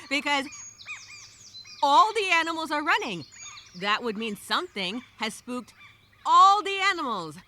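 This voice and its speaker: A woman's voice: high voice, big pitch swings, measured pace, long pauses, sharp tone, voice pushed up, full character voice. A woman playing a frightened, exasperated castaway, talking through a journal entry in a theatrical, emphatic, rambling dramatic monologue. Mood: alarmed, frantic. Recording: clear background noise with birdsong.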